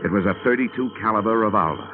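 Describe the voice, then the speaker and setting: A wisecracking insurance investigator man, as an actor plays him in a 1950s radio drama. He narrates in a low, dry voice, and it comes through a tinny broadcast. Music sits under his lines. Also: racing, close to an everyday voice. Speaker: narrator and lead.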